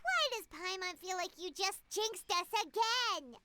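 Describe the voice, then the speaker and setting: A woman's voice: very high voice, animated, unhurried, heavy background noise. A woman voicing a tiny floating girlish companion in a video game, chatty and bossy, who talks about herself in the third person. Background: loud game music.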